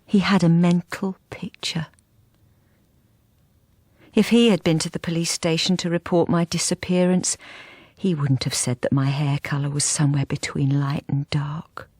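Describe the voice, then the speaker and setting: A woman's voice: low-pitched, steady tone, normal speed, breathy edge, dry recording. An actress playing a wistful, lonely wife narrating her life in an intimate, reflective radio drama monologue. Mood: wistful, hushed. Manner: intimate, reflective, softly hesitant. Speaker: lead character and narrator.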